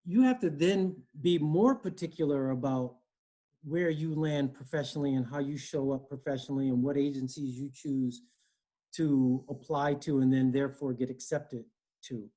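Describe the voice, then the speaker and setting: A man's voice: mid-range voice, moderate pace, warm tone, low-quality recording. A man in his forties with an East Coast American accent, from Connecticut, talking earnestly as an interview guest on a video call from home, often checking in with "you know" and "right?". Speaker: guest, HR director. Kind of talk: conversational interview. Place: home room, indoors.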